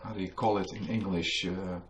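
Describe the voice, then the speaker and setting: Dutch guy with a low voice giving a museum book talk, lots of uhs while he hunts for english words, faint hiss behind. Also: steady tone, brisk. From Netherlands. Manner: hesitant, searching for English words, many fillers. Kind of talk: storytelling lecture to an audience. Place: museum hall with a live audience.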